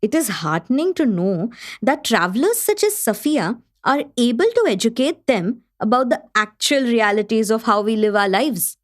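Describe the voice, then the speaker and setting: An actress playing the gracious host of a literary gathering in a radio play, formal and appreciative. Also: female voice, fairly high pitch, very animated, normal speed, few pauses. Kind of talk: scripted radio play narration.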